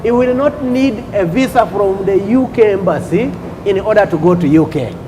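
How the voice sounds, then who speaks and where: A man from Uganda, a customs official on a talk show, explaining rules deliberately and repeating points with "okay?" checks. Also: sits high, background noise.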